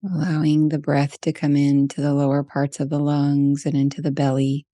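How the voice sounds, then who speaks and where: A woman leading a guided meditation, speaking in short, gentle phrases with long pauses. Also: sits low, monotone, no echo, studio quality.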